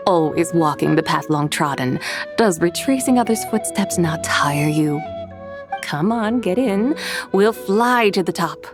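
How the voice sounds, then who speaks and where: A woman's voice: lowish voice, expressive, unhurried. A woman voicing a poised, self-assured immortal woman in a video game, speaking in a lofty, formal manner. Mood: amused, proud. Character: poised, self-assured, mysterious female adeptus, amused and showy. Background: game music.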